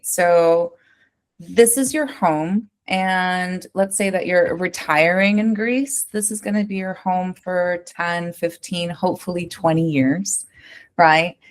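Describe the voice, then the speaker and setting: An American woman working as an interior designer, answering interview questions in a lively, conversational way and often checking in with "right?". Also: on the low side, a little slow. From United States. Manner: animated, keeps asking "right?", tells stories.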